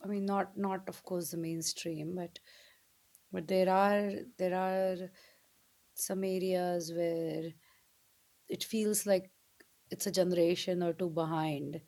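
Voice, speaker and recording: A woman's voice: lowish voice, steady tone, slow pace, pauses often, warm tone. A woman from India co-hosting a podcast in casual conversation, choosing her words tentatively and carefully. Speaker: podcast co-host.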